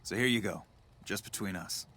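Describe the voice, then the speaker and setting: A man's voice: fairly low pitch, normal speed, pushed and bright, husky. A male actor playing a matter-of-fact outpost officer in video game dialogue, with a dry, businesslike manner. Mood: businesslike, discreet.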